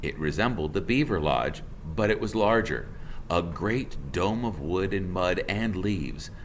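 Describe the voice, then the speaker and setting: A man's voice: deep voice, lively intonation, unhurried, a little hiss or hum. A man narrating a children's storybook aloud in the role of a teacher.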